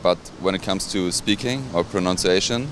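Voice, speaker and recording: A man's voice: low voice, moderate pace. A man answering street interview questions hesitantly, with many fillers. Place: outdoors on a city street. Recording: street noise behind.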